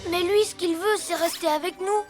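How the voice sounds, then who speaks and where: A woman's voice: very high voice, normal speed. A woman voicing a sweet, hesitant little boy superhero in a children's cartoon, innocent and unsure. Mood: hopeful. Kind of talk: French children's cartoon acting. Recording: loud background music.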